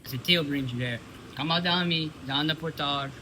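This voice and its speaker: A young man with a Cajun accent, talking quickly about the woods and giving directions in an interview clip. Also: a little high, bright, forceful tone, rough recording. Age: young adult. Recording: outdoor clip with humming noise.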